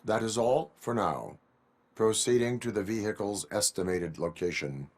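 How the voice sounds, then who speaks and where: An actor playing a stoic, rigidly lawful cyborg police officer in a video game, speaking in clipped, formal, deadpan phrases. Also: male voice, on the low side, normal speed, lightly acted. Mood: stern, flat. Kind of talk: video game cutscene acting.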